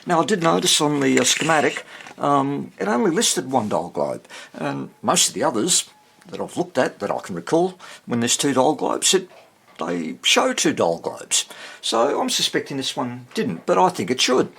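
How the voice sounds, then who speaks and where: Man muttering through repairs, lively gravelly voice. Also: mid-range voice, moderate pace. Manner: rambling, thinking aloud while working. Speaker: hobbyist radio restorer presenting own repair. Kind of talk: casual unscripted workbench commentary. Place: indoor workbench.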